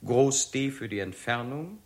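A man's voice: mid-range voice, lively intonation, a little slow, lightly acted. An actor playing a brilliant, sober young male physicist in a 1960s German radio drama, speaking with precise, lecturing deliberation. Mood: sober, analytical. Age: 20s.

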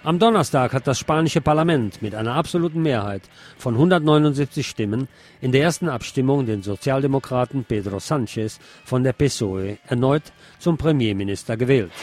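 Male news reader for radio, mid-pitched, scripted bulletin delivery with faint music underneath. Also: a little mellow.

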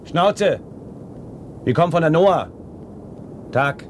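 An actor playing a brusque, world-weary salvage driver in a radio play, with a gravelly voice. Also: male voice, fairly high pitch, expressive, slow. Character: brusque, world-weary space-age salvage driver confiding in his deputy. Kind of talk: German radio play acting. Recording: humming vehicle noise.

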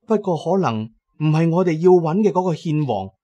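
A woman's voice: deep voice, lively intonation, fairly quick, mellow, muffled recording, voice pushed up. A man voicing a serious, watchful female tomb explorer in a radio drama. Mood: solemn, pondering.

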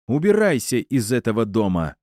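A man voicing an impulsive, hot-headed son in an audiobook drama, speaking forcefully. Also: mid-range voice, big pitch swings, measured pace, no echo, studio quality, voice pushed up. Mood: threatening.

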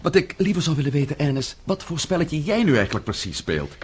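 An actor playing an earnest, devoted but bewildered husband in a radio drama, hesitant and stammering. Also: male voice, a little high, very animated, fast talker, a little hiss or hum. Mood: challenging.

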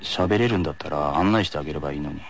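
A man voicing a grumbling teenage tennis player in a flat mutter, in anime radio show style. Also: extremely low pitch, racing, heavy background noise, voice pushed down. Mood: gloomy muttering.

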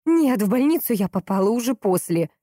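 Woman voicing a distraught, insistent grieving sister, mellow-toned. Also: a little high, lively intonation, dry recording, clean studio sound, voice pushed up, natural voice. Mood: agitated, impatient. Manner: emphatic, impatient, drawn-out stresses. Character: distraught, insistent, hot-tempered grieving sister in a crime story.

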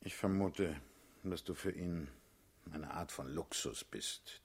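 Very deep, husky, commanding party boss. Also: male voice, slow, exaggerated voice. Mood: sardonic. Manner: blunt, paternal, brusque. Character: world-weary, commanding male party leader, blunt and sardonic. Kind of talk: German radio drama acting.

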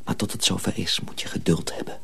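Radio drama role: calm, knowing older mill hand, deep husky voice, hushed and mysterious. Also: male voice, quick pace, whispered, soft background music, exaggerated voice. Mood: hushed, secretive. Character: older, calm, secretive male miller's journeyman. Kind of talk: Dutch radio drama acting.

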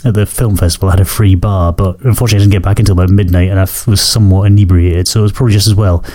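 Croaky hoarse man rambling fast. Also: low voice, fairly even intonation, warm tone. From Scotland. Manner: rambling, self-correcting, apologetic. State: hoarse from a cold. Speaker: podcast host. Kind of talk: casual solo podcast chat.

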